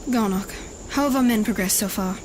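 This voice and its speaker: An actress playing a composed, practical rebel leader in an audio drama, businesslike and reassuring. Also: female voice, mid-range voice, brisk, darkish tone, slight background noise, close to an everyday voice, rough recording. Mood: composed, resolute.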